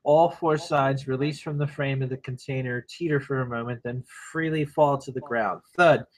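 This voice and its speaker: A man narrating a script at a table read in measured, short phrases. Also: medium pitch, fairly even intonation. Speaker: narrator reading stage directions.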